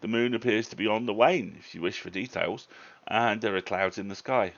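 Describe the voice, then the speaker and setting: A man voicing a measured, observant college fellow in a storybook reading, deliberate, slightly hesitant and matter-of-fact. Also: on the low side, creaky, a bit bright, dull audio.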